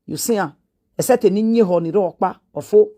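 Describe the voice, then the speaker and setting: A woman from Edo State speaks with a Nigerian Pidgin accent in a middle-pitched voice. She gives reaction commentary and repeats herself as she pleads with her listeners. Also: fast talker, dark tone. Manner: repetitive, earnest, pleading. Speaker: online commentator.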